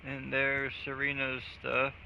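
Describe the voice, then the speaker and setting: Guy streaming a game, swears a lot, really bright sharp voice, bit of noise. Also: medium pitch, completely flat delivery, slow, creaky, dull audio. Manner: crude, profane joking. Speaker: gaming streamer. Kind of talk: casual live-stream chat.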